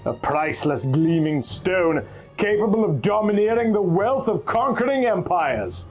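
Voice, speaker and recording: A man's voice: high-pitched, sing-song, measured pace, soft background music. A man reading pulp fantasy aloud in a theatrical, scripted way, drawing out words at the ends of phrases. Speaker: narrator reading a story aloud.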